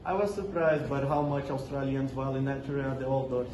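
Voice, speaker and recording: A man's voice: medium pitch, steady tone, a little fast. A young man answering interview questions in a scripted school role-play, reading prepared answers steadily. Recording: echoey room with noticeable noise.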